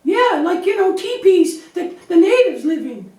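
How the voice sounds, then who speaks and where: Very high-pitched anxious little lamb puppet. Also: female voice, very animated, unhurried, darkish tone, exaggerated voice. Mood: naive, puzzled. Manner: naive, earnest, chatty and repetitive. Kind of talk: children's church puppet show. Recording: echoey room, distant microphone.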